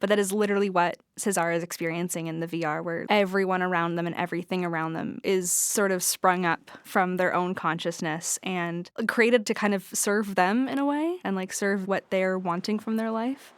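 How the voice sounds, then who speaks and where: Woman writer chatting informally, slightly low voice, dry close sound. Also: steady tone, moderate pace, few pauses, smooth, no echo. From Canada. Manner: informal, reflective, frequent "like". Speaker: playwright, interview guest. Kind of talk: casual chat.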